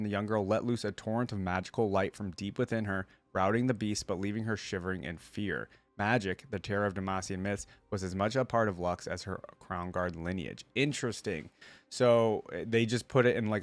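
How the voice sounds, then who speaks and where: A man's voice: on the low side, dry recording. A man hosting a reaction video, mixing read-aloud passages with casual, conversational commentary. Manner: conversational, pauses reading to comment.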